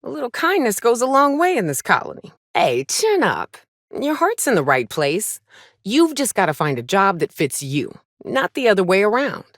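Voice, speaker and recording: An actress playing a tough, wry, hard-drinking space trailblazer in a video game, with a sardonic, folksy and salty-tongued manner. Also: female voice, mid-range voice, very animated, moderate pace, dry recording, studio quality. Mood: encouraging. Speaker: companion character in a video game. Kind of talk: video game voice acting, short reactive lines.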